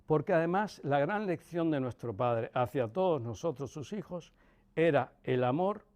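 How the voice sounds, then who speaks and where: A man in his sixties with a Cuban accent softened by Castilian Spanish, reminiscing in an interview in a measured way, with pauses and emphatic repetition. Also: a little high, expressive. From Cuba. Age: 60s, about 64. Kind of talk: reflective personal reminiscence.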